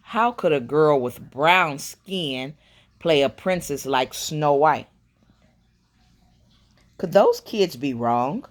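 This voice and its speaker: Slow-paced woman reading a bedtime story. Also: sits low. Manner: slow, expressive reading with quiet murmured asides. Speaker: storyteller reading a picture book aloud. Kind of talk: bedtime story read-aloud with comments on the pictures.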